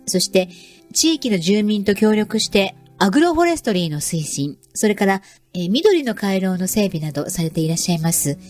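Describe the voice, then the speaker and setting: A woman's voice: mid-range voice, darkish tone. A woman hosting a radio program in a polite, presenterly manner, introducing it as navigator. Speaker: program navigator and environmental education instructor. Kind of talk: scripted radio show introduction.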